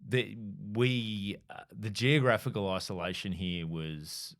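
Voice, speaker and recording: A man's voice: lowish voice, steady tone, slow. A man in his thirties hosting a comedy podcast, asking curious, chatty questions. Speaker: podcast host. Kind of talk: casual interview chat.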